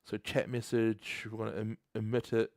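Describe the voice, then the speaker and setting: A man's voice: on the low side, monotone, moderate pace, a little mellow, no room sound, studio quality. Chatty New guy coding live. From New Zealand. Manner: chatty, laughs often, reads chat aloud. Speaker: livestream host. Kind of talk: casual live coding stream.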